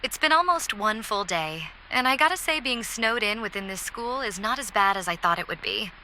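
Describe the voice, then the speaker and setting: A stranded survivor acted by a woman narrates an audio diary in a very bright, sharp voice. She is wry and reflective, with faint noise under the log. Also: mid-range voice, animated, normal speed, poor audio. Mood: wry, hopeful. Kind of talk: analog horror audio diary acting.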